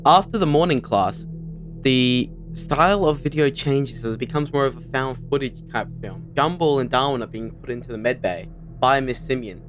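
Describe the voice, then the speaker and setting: Young male host, mid-pitched voice, casual commentary, music underneath. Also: moderate pace, a bit bright. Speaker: video host. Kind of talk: casual scripted video commentary. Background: background music.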